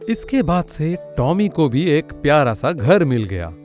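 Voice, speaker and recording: A man's voice: a little high, big pitch swings, unhurried, a little mellow, faint music behind. A man narrating a children's cartoon story in a scripted storytelling style.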